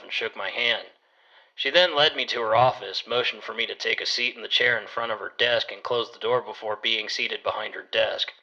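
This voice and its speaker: A man playing a private detective who narrates his case in a measured, matter-of-fact way. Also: medium pitch, bright, forceful tone, dull audio. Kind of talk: first-person detective story narration.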